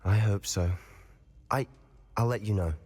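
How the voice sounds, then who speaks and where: A man's voice: fairly low pitch, a little slow, darkish tone, husky. A young man voicing an earnest, troubled student in a video game, speaking in a subdued, sincere way. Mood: sombre, sincere. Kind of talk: video game cutscene dialogue. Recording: long reverberant hall.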